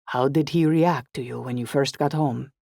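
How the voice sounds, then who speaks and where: A narrator voicing a warm, attentive young Italian man with an Italian accent and a gentle, inquiring manner. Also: mid-range voice, fairly even intonation, normal speed, vocal fry, dry recording, clean studio sound. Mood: thoughtful.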